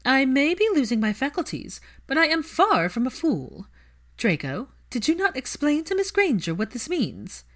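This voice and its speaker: A woman's voice: high-pitched, sing-song, moderate pace, a bit bright, pitched above the performer's own voice. A woman voicing a stern, imperious older aristocratic mother in an audiobook story, speaking formally and emphatically. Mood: imperious, probing.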